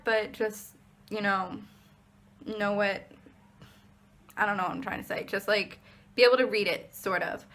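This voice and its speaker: A woman's voice: mid-range voice, a bit bright, slight background noise. A young American woman in her twenties, a college student, talking casually to camera in a rambling vlog with many fillers and restarts. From United States. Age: 20s.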